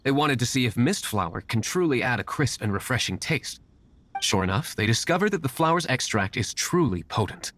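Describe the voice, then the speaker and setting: A man playing a composed, brooding young aristocrat in a video game, speaking formally and in a measured way. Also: mid-range voice, expressive, a bit bright. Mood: explaining. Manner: formal, measured, well-spoken. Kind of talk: video game character acting.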